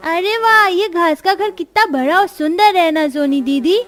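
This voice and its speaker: A woman's voice: extremely high pitch, normal speed, fairly bright tone. A woman voicing an innocent, wide-eyed young orphan sister in a cartoon story. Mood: delighted.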